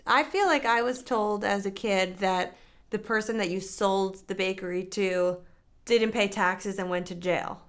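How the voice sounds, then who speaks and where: A young woman hosting a phone conversation with her father, gently prompting him with questions about the family's past. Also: mid-range voice, measured pace. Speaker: host interviewing her father. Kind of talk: conversational interview.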